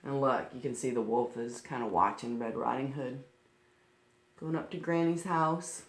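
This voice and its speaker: A woman's voice: very deep voice, normal speed. A woman reading a picture book aloud to children, breaking off to explain things simply. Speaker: children's storytime reader. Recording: roomy, echoing sound.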